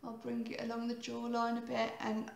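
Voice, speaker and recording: A woman in her thirties with a croaky voice, giving a chatty, rambling makeup tutorial and often correcting herself. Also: fairly high pitch, monotone, moderate pace, roomy sound. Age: 30s.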